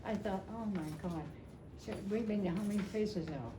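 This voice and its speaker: An older woman telling a personal story to a gathering in a chatty, halting way, repeating phrases as she goes. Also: mid-range voice. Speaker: storyteller at a family memorial. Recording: echoey room, clear background noise.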